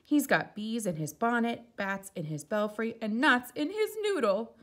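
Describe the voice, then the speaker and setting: An indignant storybook neighbor, as a woman reading aloud puts it on, pitched well up and loud. The words are exclaimed with drawn-out emphasis, scandalized and disapproving. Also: animated. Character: crowd of indignant, scandalized neighbors in a picture book.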